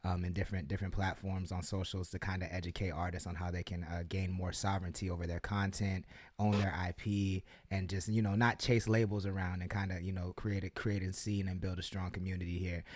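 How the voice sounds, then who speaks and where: A hyped, chatty man hosting a laid-back radio interview, piling on fillers and cheering his guest on. His voice sits low, and the recording is dry and close with no room sound. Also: little pitch movement, fairly quick, dry recording. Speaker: radio show host. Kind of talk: casual podcast interview.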